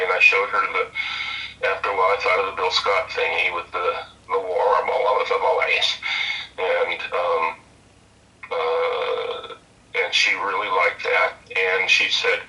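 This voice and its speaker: Deep low guy on a video call, bright edgy tone, sounds a bit far from the mic, rambles. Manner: rambling, repeats words, quotes others in his own voice. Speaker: interview guest, former game programmer and voice actor. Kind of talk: casual storytelling over a video call. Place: home room with bookshelves, indoors.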